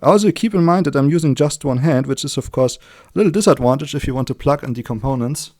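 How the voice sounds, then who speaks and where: A man with a German accent, a native German speaker, giving an informal hands-on electronics tutorial in a chatty, halting way, correcting himself as he works. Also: mid-range voice, a little mellow. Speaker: electronics hobbyist demonstrating a circuit build. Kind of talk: informal hands-on tutorial, explaining while working.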